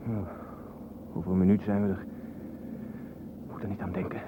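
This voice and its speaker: A man's voice: deep voice, little pitch movement, normal speed, narrow, tinny audio, lightly acted. Actor as an uneasy man over car noise. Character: uneasy man riding as car passenger at night. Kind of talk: radio drama acting. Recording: vehicle noise behind.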